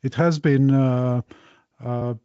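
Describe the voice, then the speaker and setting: A man's voice: mid-range voice, steady tone, slow, clear, resonant tone, no room sound, dull audio. A man hosting a podcast interview, speaking hesitantly with many fillers. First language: Latvian. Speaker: podcast host.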